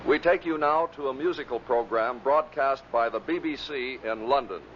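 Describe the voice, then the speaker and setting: A man's voice: medium pitch, normal speed. A man reading scripted narration as the presenter of a compilation of radio clips. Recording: dull vintage recording with faint noise.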